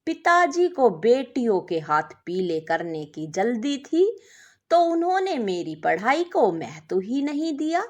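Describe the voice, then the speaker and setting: A woman storyteller voicing a modest, contented young working wife, speaking gently and earnestly. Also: on the high side, sing-song, a little slow. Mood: modest, resigned.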